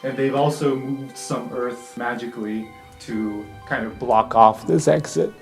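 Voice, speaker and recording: A man improvising descriptive narration as a tabletop game master, conversational and pausing mid-sentence. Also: medium pitch, fairly even intonation. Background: music with plucked guitar.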